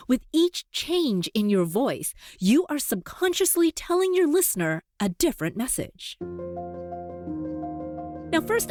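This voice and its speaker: Upbeat woman podcast host, lively pitch. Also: no room sound, studio quality. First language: Cantonese. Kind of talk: scripted podcast monologue.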